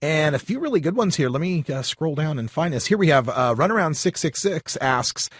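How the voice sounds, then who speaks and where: A man's voice: on the high side, expressive, a little fast, slightly rough, no room sound. A man hosts a live talk show in an easygoing, conversational way. His voice is dry and close, with no room around it. He reads out listener questions and phone numbers. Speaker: radio talk show host. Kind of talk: live call-in show hosting.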